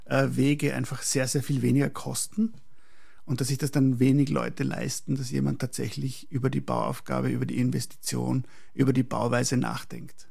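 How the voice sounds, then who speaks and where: This is a man with a very creaky, husky voice. He sits at an ordinary male pitch and talks reflectively as an interview guest. He chooses his words deliberately, often pausing to search for the next one. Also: flat delivery, darkish tone. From Prague, Czechoslovakia, later schooled in Austria.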